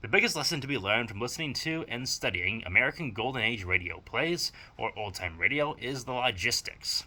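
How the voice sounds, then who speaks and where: Bright, forceful man presenting a video essay. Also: medium pitch, expressive, fairly quick, few pauses. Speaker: audio drama writer and producer presenting a video. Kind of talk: scripted video essay narration.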